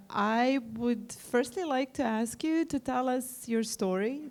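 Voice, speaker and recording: Woman interviewer at a conference, unhurried, upbeat questions. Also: a little high, a little mellow. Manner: enthusiastic, chatty questions. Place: conference arena stage.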